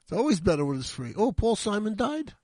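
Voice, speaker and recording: Husky-voiced older man in a studio. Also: high-pitched, very animated, a little fast, darkish tone, no echo. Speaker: radio show co-host. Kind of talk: casual studio banter. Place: radio studio.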